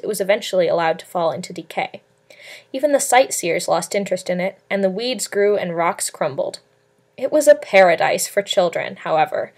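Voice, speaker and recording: A woman's voice: mid-range voice, a little mellow. A woman narrating a novel as an amateur read-aloud, in a scripted storybook style. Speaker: amateur audiobook reader.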